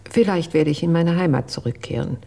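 An actress playing a reserved housekeeper giving testimony in a German radio crime drama, formal and measured. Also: female voice, low voice, creaky, warm tone.

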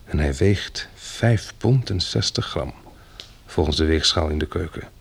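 A man's voice: very deep voice, animated, a little slow, vocal fry, slightly rough, heavily acted. An actor playing a practical husband and father surviving a catastrophe in a Dutch radio drama, with a dry, matter-of-fact way of talking. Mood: solemn. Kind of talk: Dutch radio drama acting, diary narration and dialogue.

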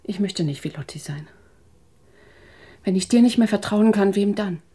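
Husky, hurt, tired wife. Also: female voice, mid-range voice, normal speed, warm tone. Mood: weary. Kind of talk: German radio drama acting.

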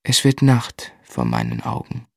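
An actor playing a grieving young husband who tells his life story in a radio play, sombre and measured. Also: extremely low pitch, very animated, vocal fry, a little mellow, no echo, studio quality, soft background music, full character voice. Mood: subdued narration. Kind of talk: literary radio play narration and acting.

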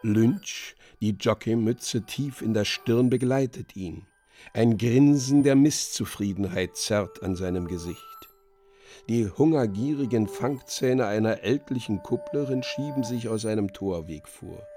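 A man narrating a literary radio drama, reading scripted stage directions in a measured manner. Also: lowish voice, warm tone, faint music behind.

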